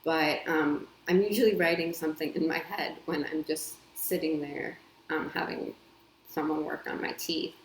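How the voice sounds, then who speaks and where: A woman's voice: low-pitched, fairly even intonation, moderate pace, a little hiss or hum. A woman, a copywriter and editor, chatting casually to camera from home with lots of ums and small laughs. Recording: echoey room with faint ambience.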